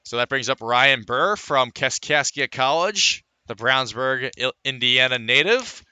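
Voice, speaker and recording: A man's voice: medium pitch, lively intonation, measured pace, bright, forceful tone, smooth, no room sound. An American man calling a baseball game as a live radio play-by-play broadcaster. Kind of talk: live radio sports commentary.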